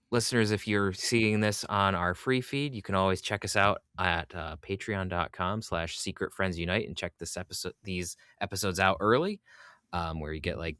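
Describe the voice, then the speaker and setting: Low-voiced casual male podcast host. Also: sharp tone, clean tone, no room sound, studio quality. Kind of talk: casual conversational interview.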